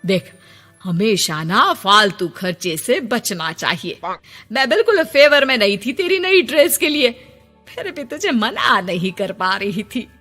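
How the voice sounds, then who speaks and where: A vain, self-absorbed cartoon mother-in-law, acted by a woman, bossy and boastful. Her delivery is bright and swings dramatically up and down, with music behind and a long reverb around it. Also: on the high side, big pitch swings, unhurried, exaggerated voice. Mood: smug.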